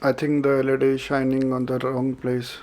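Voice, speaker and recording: Guy reviewing a radio, pretty monotone, keeps saying uh, medium pitch. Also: fairly quick. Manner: hesitant, frequent "uh" fillers, methodical. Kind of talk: product review and unboxing. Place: indoors at a desk with a tripod camera.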